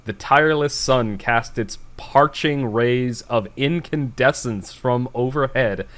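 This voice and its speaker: Male podcast host, American accent, medium pitch, moves between easy banter and read-aloud prose. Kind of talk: casual banter and reading a story aloud.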